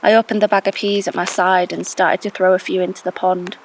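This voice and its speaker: An actress playing a reflective, observant stranger narrating an audio drama in a measured, wistful way. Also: female voice, on the low side, little pitch movement, slight background noise, natural voice, low-quality recording. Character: reflective, observant stranger recalling a park bench encounter.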